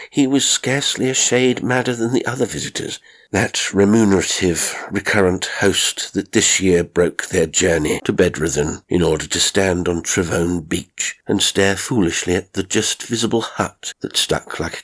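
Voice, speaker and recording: A man narrating a literary story in a measured, formal and expressive storytelling manner. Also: low voice. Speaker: audiobook narrator.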